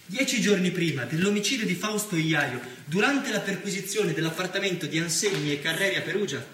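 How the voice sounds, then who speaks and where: Grave, forceful male case narrator. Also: high voice, lively intonation, fairly quick. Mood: grave, factual. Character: male narrator reporting police investigation facts, grave and factual. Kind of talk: scripted documentary-like stage narration. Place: theatre stage, recorded from a distance. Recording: roomy distant stage recording, faint noise.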